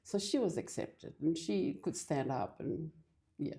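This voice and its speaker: Low, mellow-voiced older woman from Fiji. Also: lively intonation. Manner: hesitant, halting with many restarts. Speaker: church interview guest. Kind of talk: casual interview conversation.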